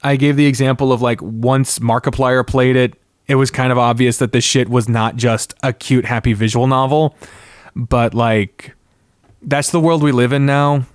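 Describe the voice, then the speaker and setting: Guy rambling on his podcast, mid pitched voice, thinks out loud n swears, really dry sound. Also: steady tone, normal speed, no room sound. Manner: casual swearing. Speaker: podcast host. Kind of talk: casual solo podcast commentary on games.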